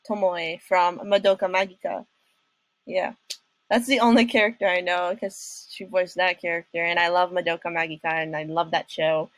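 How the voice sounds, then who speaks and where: A woman's voice: lowish voice, a bit bright, dry recording, poor audio. A young woman hosting a casual reaction video, talking in a hesitant, rambling way.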